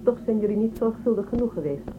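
An actress playing a haughty, probing woman official of a space empire in a radio drama, condescending and measured. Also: fairly high pitch, lively intonation, phone-quality sound, natural voice. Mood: cool, superior. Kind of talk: Dutch radio drama acting. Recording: thin band-limited, noisy old radio recording.

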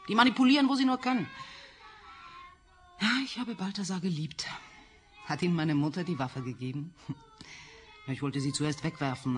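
Bitter possessive lover in a radio play, deep for a woman, very dramatic, faint music behind. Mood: disdainful. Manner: dramatic, sardonic, sighing. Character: bitter, possessive scientist's daughter, confessed killer in love.

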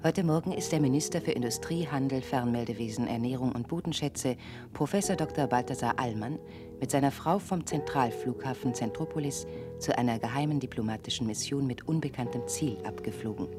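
Guy doing a stiff official radio newsreader, measured, music behind him. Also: mid-range voice, lightly acted. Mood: neutral, official. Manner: formal, measured, impersonal. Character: formal radio newsreader announcing government news. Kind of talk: scripted news reading.

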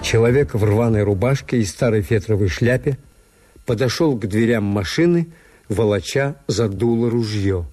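An actor playing a world-weary hotel owner, narrating in a measured, literary way in a Soviet radio drama. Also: male voice, lowish voice, mellow, no echo, lightly acted. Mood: somber. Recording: music bed, Soviet radio production.

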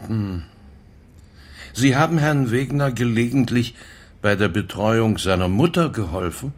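Husky, very low-voiced police inspector. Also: male voice, expressive. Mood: probing. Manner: measured, insinuating, formal. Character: probing, measured male police detective inspector. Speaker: actor in a radio crime drama. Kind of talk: naturalistic German radio play interrogation.

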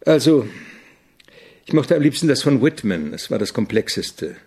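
Gravelly, opinionated male composer. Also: medium pitch, big pitch swings, a little mellow. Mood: reflective, didactic. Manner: didactic, argumentative, deliberate. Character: opinionated, irritable avant-garde male composer being interviewed. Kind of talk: German radio drama dialogue.

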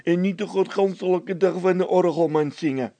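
A man's voice: high-pitched, steady tone, normal speed, dark tone, no room sound, voice pushed up, lightly acted. A man voicing a blunt, teasing village labourer who mocks his mate in a storybook reading. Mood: mocking. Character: Bol, needling, teasing male village labourer.

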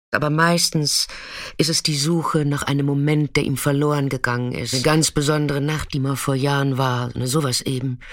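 A woman's voice: very deep voice, no echo, studio quality. An actress playing a tough, defiant street sex worker, telling her story in a blunt, colloquial radio drama monologue. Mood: frank, nostalgic. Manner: colloquial, blunt, swinging from intimate recollection to agitated outbursts.

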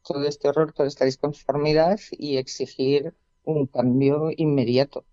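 Radio guest from a union, calm explainer, warm timbre, lo-fi dry line. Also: medium pitch, dry recording. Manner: measured, affirming, explanatory. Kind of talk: conversational radio interview.

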